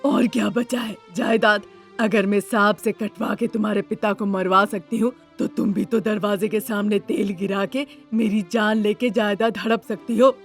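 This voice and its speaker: A woman's voice: medium pitch, fairly even intonation, normal speed, soft background music, lightly acted. A woman voicing a greedy, cruel middle-aged mother-in-law in a cartoon story, scolding and conniving. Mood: angry, accusing. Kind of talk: Hindi cartoon story dubbing.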